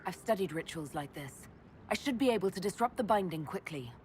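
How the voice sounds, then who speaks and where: Woman voicing a calm practical elf leader, lowish voice, clear hiss behind. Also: a little fast, close to an everyday voice. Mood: steady. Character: steady female elf leader, protagonist. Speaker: player character.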